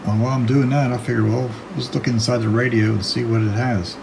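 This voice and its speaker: A man with a gravelly voice narrating a casual hands-on video, hesitantly thinking aloud as he works. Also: mid-range voice, steady tone, fairly quick, noisy background. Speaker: hobbyist radio restorer narrating own video.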